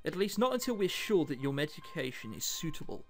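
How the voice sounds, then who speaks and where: A man's voice: on the high side, very animated, fairly quick, pitched below the performer's own voice. A man reading the lines of earnest, reassuring adult men in a visual novel. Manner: reassuring, explanatory. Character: earnest, placating adults: a father and a doctor.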